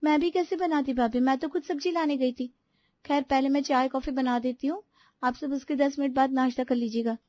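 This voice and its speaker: A woman's voice: sits high, fairly even intonation, a little fast, darkish tone, dry recording, close to an everyday voice. A woman voicing a dutiful but defensive young sister-in-law in a household story, explaining herself indignantly.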